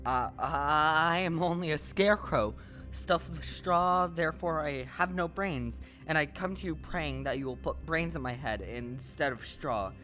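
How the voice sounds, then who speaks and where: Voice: medium pitch, a little slow, pushed and bright, faint music behind. Performer as humble, earnest scarecrow. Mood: humble, pleading. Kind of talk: audio play acting before a live audience.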